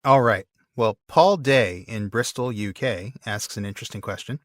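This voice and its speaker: A man's voice: lowish voice, normal speed, dry recording, studio quality. A man co-hosting a podcast, reading listener mail and explaining word origins with enthusiasm, laughing often. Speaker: podcast co-host.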